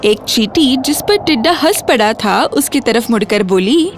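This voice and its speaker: A woman's voice: fairly high pitch, lively intonation, measured pace. A woman storyteller reading scripted narration for a children's bedtime story. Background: music.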